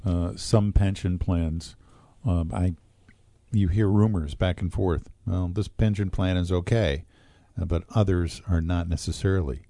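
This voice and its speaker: Man, very low voice, mellow, dry close sound, measured radio explainer, many fillers. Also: fairly even intonation, no echo. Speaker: financial advisor co-host. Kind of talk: radio talk show discussion.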